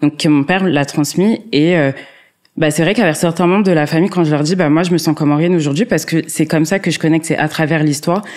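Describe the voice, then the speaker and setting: A woman's voice: low-pitched, fast, darkish tone. A young woman in casual conversation, talking with many fillers and restarts. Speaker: co-host. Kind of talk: casual chat.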